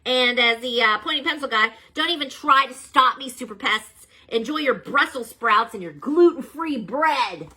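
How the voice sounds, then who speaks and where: A woman voicing a boastful male rat villain with a squeaky, sneering voice in a read-aloud storybook. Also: expressive, normal speed, hardly any pauses, bright, forceful tone, slightly rough, full character voice, poor audio. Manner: sneering, mocking.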